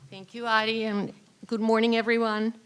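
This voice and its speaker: A woman's voice: fairly high pitch, little pitch movement, moderate pace, fairly bright tone, smooth, rough recording. A woman presenting an award with a prepared, formal tribute speech. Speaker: award presenter, faculty colleague.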